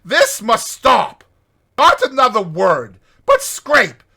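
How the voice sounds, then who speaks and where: Man putting on an excitable, high-pitched, bossy rat. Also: slow pace, creaky, breathy edge, heavily acted. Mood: angry. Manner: exclamatory, scolding, emphatic. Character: excitable, bossy male water rat, impatient and triumphant.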